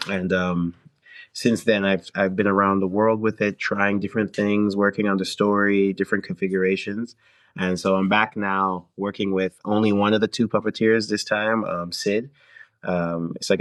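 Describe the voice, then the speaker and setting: Deep-voiced man in casual conversation. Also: flat delivery, no room sound. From Canada. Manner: halting, thinking aloud in short phrases. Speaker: guest, singer-songwriter and theater performer.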